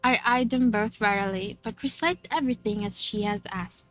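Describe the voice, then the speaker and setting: A woman's voice: mid-range voice, normal speed, a bit bright, muffled recording. A woman streamer reading visual novel narration aloud, with casual reactions of her own.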